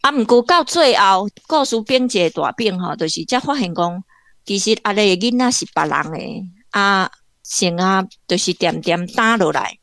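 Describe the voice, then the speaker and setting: A woman's voice: medium pitch, lively intonation, moderate pace, fairly bright tone, no room sound. A woman with a Taiwanese accent giving conversational literary commentary in an explanatory way, like a guest academic.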